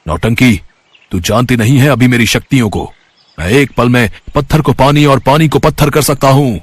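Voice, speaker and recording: Man acting a husky, menacing evil sorcerer. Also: mid-range voice, moderate pace, dry recording, voice pushed down, natural voice. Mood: boastful. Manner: threatening, commanding, boastful.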